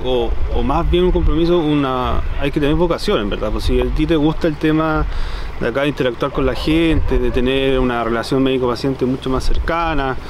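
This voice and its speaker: A man in his thirties with a Chilean accent, a doctor speaking haltingly in an interview, searching for words. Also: medium pitch, a little hiss or hum, low-quality recording. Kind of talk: interview testimony. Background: clear music.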